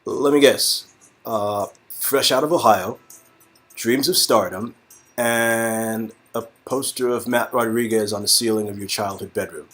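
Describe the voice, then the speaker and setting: A man's voice: lowish voice, unhurried, a bit bright. A man reading the part of a laid-back, teasing young neighbor in a story game, casual and hesitant. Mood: friendly teasing.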